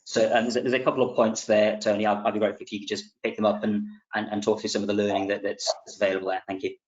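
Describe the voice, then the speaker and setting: A man, a committee member on a remote council meeting call, speaking haltingly with many restarts. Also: fairly low pitch, flat delivery. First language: Welsh speaker. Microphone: video call. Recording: dull lo-fi remote call audio.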